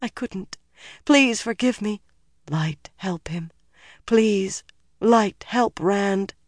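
A woman's voice: mid-range voice, moderate pace, no echo, clean studio sound, voice pushed up, close to an everyday voice. A woman narrator voicing a frightened, stubborn young woman in an audiobook, pleading in a tremulous, emotional way. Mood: grief-stricken whispering.

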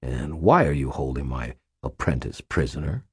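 A man voicing a wary, coldly measured male sorcerer. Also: extremely low pitch, lively intonation, a little mellow, breathy edge, dry recording, studio quality, voice pushed down, full character voice. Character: guarded, cold male sorcerer.

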